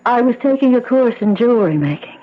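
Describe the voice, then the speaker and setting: An actress playing a frightened, insistent wife in a 1970s radio drama, speaking in a tense, halting, pleading way. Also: female voice, on the high side, expressive. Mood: shaken, certain. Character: terrified, insistent wife haunted by her dead husband. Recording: muffled, noisy old radio broadcast.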